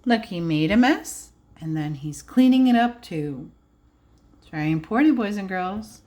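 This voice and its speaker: A woman's voice: medium pitch, expressive, a little slow, darkish tone. A woman preschool teacher reading aloud to young children, adding simple explanations and checking in with questions. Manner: simple child-directed phrasing.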